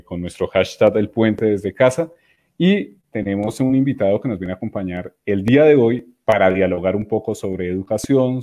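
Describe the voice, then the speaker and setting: A male radio host with a fairly low voice introduces his guests in a relaxed, friendly manner. He circles back and repeats phrases as he talks. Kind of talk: casual radio talk.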